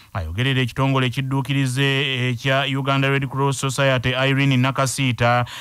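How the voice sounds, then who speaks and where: Brisk, smooth-voiced male news anchor. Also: medium pitch, fairly bright tone, no echo. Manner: brisk formal delivery. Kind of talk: scripted news reading.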